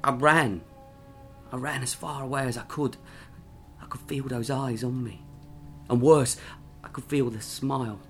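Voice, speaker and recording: A man's voice: medium pitch, steady tone, measured pace, pauses often, soft background music, close to an everyday voice. A man doing a stammering, frightened drifter. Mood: nervous, shaken. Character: nervous drifter who saw something horrifying.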